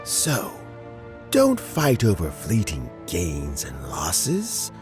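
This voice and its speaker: A man playing an elderly, benevolent civic elder in a video game, speaking in a measured, deliberate, sage-like way. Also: deep voice, huge pitch swings, soft background music, full character voice. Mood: earnest, advising. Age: older. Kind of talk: scripted video game character dialogue.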